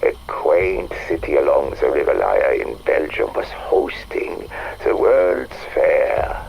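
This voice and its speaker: A haunted occult scholar with an extremely low, heavily creaking voice. He reminisces slowly in florid, halting phrases, as a video game monologue. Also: darkish tone, a little hiss or hum, full character voice, low-quality recording. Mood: wistful. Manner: halting, breathy, florid storytelling. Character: haunted, obsessive male occult scholar reminiscing on his life. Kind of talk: dramatic monologue, game audio log.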